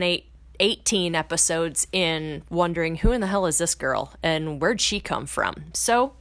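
A woman's voice: lowish voice, fairly bright tone, no echo. A woman hosting a podcast, talking in a casual, blunt, motivational way that swears freely and rises into emphatic bursts. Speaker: podcast host.

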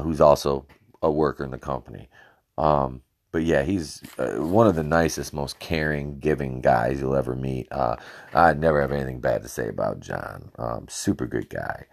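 A man hosting a podcast, telling rambling, swear-filled stories about his time as a ring announcer, with lots of fillers and restarts, recorded on a cheap home microphone. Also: creaky. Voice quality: very deep voice. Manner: laughs at his own stories. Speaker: podcast host and former wrestling ring announcer. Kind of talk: casual podcast storytelling.

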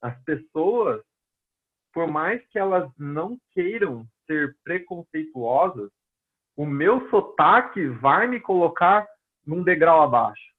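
Man, Brazilian Portuguese accent, personal trainer, casual storytelling, husky, phone-quality audio. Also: fairly high pitch, big pitch swings, takes it slowly. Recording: tinny phone-line audio.

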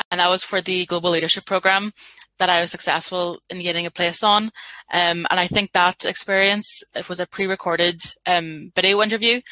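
A woman in her twenties, a recent law graduate, giving composed, measured answers on a webinar panel. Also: fairly low pitch, pushed and bright, dry recording.

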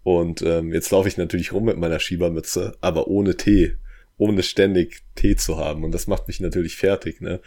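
Podcast co-host, young man, low voice, tongue-in-cheek, relaxed conversational delivery. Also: moderate pace. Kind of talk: casual podcast chat.

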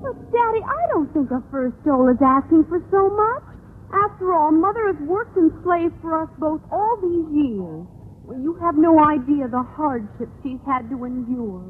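An actress playing an earnest, pleading teenage daughter in a vintage radio sitcom. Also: female voice, high-pitched, lively intonation, normal speed, faint music behind. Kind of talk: 1950s radio sitcom acting. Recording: tinny, noisy old-time radio recording.

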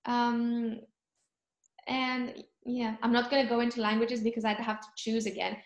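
A young woman from Cyprus, chatting in an interview and often pausing to search for words. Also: fairly high pitch, level tone, roomy sound. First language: Greek. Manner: thoughtful, searching for words, frequent ums. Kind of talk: casual chat.

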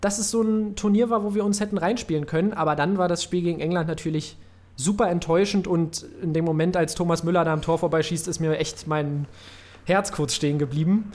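A man's voice: a little high, fairly even intonation, brisk, darkish tone. Hesitant man co-hosting a football podcast. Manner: hesitant, many fillers. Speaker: podcast co-host. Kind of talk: casual football chat.

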